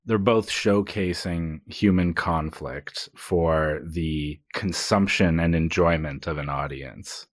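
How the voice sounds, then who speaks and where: Man, low voice, dry studio sound, playful, mock-earnest, casual podcast banter. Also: takes it slowly, hardly any pauses, no room sound. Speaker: podcast co-host.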